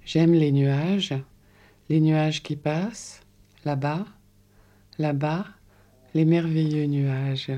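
A woman reciting a poem in a slow, measured, dramatic way. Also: low voice, fairly even intonation, mellow. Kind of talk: poetry recitation.

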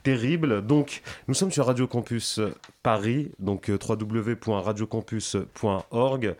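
Young male radio host, lowish voice, studio, halting and filler-heavy. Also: a little mellow. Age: young adult. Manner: hesitant, frequent fillers and self-corrections. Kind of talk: casual live radio hosting.

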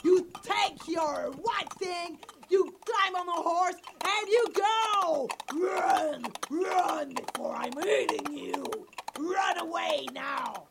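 A woman's voice: very high-pitched, very slow, heavy background noise, exaggerated voice. A woman playing a furious, fearless female blues singer in a radio drama, cursing and barking commanding orders. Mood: enraged. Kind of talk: dramatized live radio fiction.

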